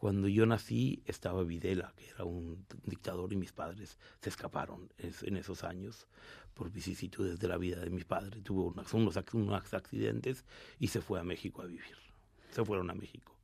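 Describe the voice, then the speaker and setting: A man, a rabbi from Argentina with a Mexican Spanish accent, answering interview questions in a measured, explanatory way with some restarts. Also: low voice, steady tone, vocal fry, darkish tone, breathy edge, dry recording.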